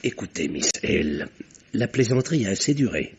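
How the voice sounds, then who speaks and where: An actor playing a gruff, authoritative country doctor in an old French radio drama. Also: male voice, fairly low pitch, big pitch swings, normal speed, darkish tone, full character voice. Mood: stern, irritated. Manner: authoritative, scolding. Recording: dull vintage radio recording.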